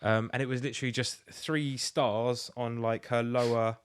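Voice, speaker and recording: A man's voice: mid-range voice, flat delivery, normal speed, smooth. A man with an English accent and a mid-range voice chats casually as a podcast co-host. He is colloquial and rambling, swears freely and keeps things loosely comic.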